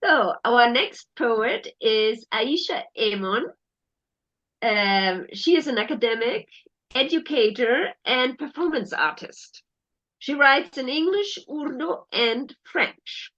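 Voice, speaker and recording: A woman's voice: a little high, expressive, fairly bright tone. A woman moderating an online poetry reading, introducing poets and guiding them through the call patiently. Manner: slow, patient announcing. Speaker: event moderator. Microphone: video call. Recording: dull lo-fi video call.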